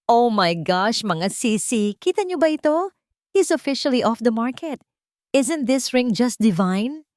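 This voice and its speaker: A woman's voice: fairly high pitch, expressive, a little slow, darkish tone, dry recording, studio quality, voice pushed up. A woman voicing a bubbly, self-absorbed young female influencer who gushes in Taglish in an audio romance story. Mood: excited, bragging. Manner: gushing, Taglish influencer talk.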